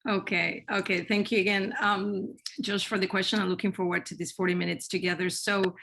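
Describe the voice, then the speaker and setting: A woman's voice: mid-range voice, little pitch movement, brisk, a bit bright. A woman in her forties from Spain, a marketing executive answering interview questions earnestly, often repeating words as she restarts. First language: Spanish. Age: 40s.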